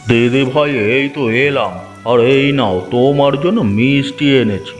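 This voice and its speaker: A man's voice: medium pitch, moderate pace, big-room sound, faint music behind, lightly acted. A man voicing a kindly, doting old village grandfather in a children's cartoon, with an affectionate, folksy manner. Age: older. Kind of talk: children's moral story cartoon dubbing.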